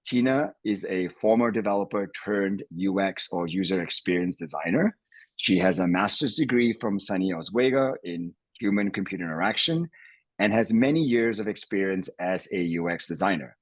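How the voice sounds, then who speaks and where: A man hosting an interview podcast, speaking in a halting way with frequent restarts. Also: low voice, no room sound. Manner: halting, restarts words often. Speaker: podcast host, company CEO.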